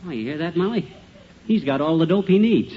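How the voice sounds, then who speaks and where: A folksy, blustering know-it-all husband played by a man with a fairly high voice. He is muddled yet cocky, in 1950s radio comedy style. The old recording is dull and noisy. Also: animated, brisk, lightly acted. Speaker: actor, lead of a radio comedy.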